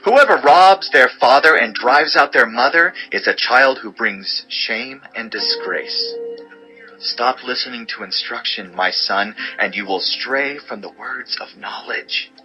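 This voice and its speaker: A man narrating an audio Bible as a dramatized scripture reading, with measured, declamatory delivery of short sayings. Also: medium pitch, bright, forceful tone, soft background music, poor audio.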